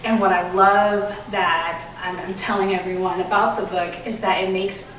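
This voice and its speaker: A woman's voice: medium pitch, little pitch movement, moderate pace, fairly bright tone, distant mic. Woman in an echoey room, off-mic. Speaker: children's book author. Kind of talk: interview talk promoting a book. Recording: roomy echo, off-mic, faint noise.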